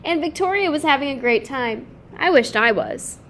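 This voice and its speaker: A woman's voice: sits high, lively intonation, moderate pace, fairly bright tone, slight background noise. Woman reading as a lonely, timid little boy. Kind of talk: children's picture book read-aloud.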